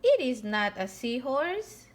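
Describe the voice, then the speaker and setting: A woman reading a picture book aloud to young children in an expressive style, with theatrical emphasis on key words. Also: high voice, slow. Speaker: children's storybook reader.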